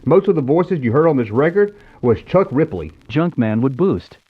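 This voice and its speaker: A man's voice: on the high side, big pitch swings, normal speed, husky. An American man in his fifties narrating nostalgically in a scripted storybook style. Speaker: YouTube show host. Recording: tinny phone-like narrow-band sound.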